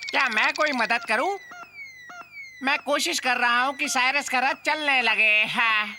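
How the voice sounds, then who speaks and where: A woman's voice: high-pitched, a little slow, sharp tone, slight background noise, heavily acted. A woman voicing an eager, helpful young male assistant in a Hindi cartoon dub.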